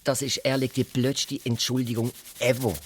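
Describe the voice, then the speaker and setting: A woman's voice: very deep voice, little pitch movement, normal speed, slightly rough. A woman playing a grumbling, sarcastic school-age twin brother with a Swiss accent in a children's radio play. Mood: sceptical, mocking.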